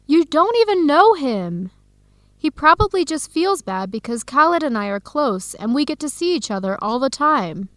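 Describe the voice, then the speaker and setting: Young woman, warm-hearted, expressive, fairly high pitch, conversational radio drama, dry studio. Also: normal speed, no echo. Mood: frustrated, defensive. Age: young adult. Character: Helen, warm-hearted young woman, defensive about her boyfriend. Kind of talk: educational radio drama dialogue.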